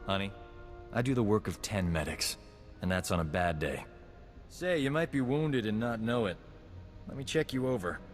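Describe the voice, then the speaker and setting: An actor voicing a cocky, flirtatious resistance medic in a video game, with a brash, glib way of talking. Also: male voice, fairly low pitch, animated, normal speed, pauses often, close to an everyday voice. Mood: cocky, playful. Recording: roomy reverb over music.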